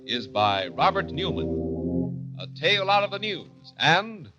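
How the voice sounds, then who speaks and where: Slow, dramatic vintage mystery announcer. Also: male voice, fairly high pitch, very animated. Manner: measured, dramatic, grandiose. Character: grave, dramatic male announcer of murder mystery show. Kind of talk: 1940s radio announcing. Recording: dull vintage radio recording, loud music behind. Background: dramatic music.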